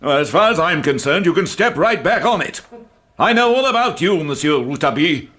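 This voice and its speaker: A man's voice: on the high side, expressive, brisk, fairly bright tone. A man acting in a radio drama as a stern, pompous and irascible judge, blustering and imperious. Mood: outraged.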